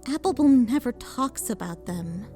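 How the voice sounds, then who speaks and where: A high, lively young filly, voiced by a woman reader, curious and a bit nervous, speaking slowly with big swings in pitch. Soft music sits behind her.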